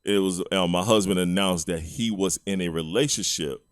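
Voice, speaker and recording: A man's voice: deep voice, moderate pace, dry recording, clean studio sound. A man, co-hosting a podcast in casual chat, telling stories laid-back and chuckling often. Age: 40s. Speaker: podcast co-host.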